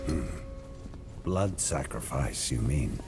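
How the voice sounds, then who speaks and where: An actor playing a stoic, guarded warrior hero in a video game, speaking tersely and grimly. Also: male voice, a bass voice, lively intonation, takes it slowly, music in the background, exaggerated voice. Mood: grim, doubtful. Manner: terse, grim, questioning. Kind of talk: dramatic video game cutscene acting.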